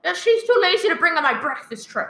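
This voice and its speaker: A very high-pitched, piercing invalid wife in a radio thriller, recorded in an echoey room. She is demanding and fretful, stammering and complaining with wild swings of emphasis. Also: very animated, fast, gravelly, a bit bright, full character voice. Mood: flustered. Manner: shrill, agitated, stammering, complaining. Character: nervous, demanding, imperious bedridden invalid wife. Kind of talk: old-time radio thriller acting. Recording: roomy, echoing live recording.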